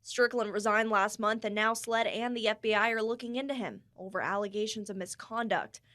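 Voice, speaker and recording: A woman's voice: mid-range voice, fairly even intonation, a little fast, fairly bright tone. A woman narrating a scripted TV news report as a reporter.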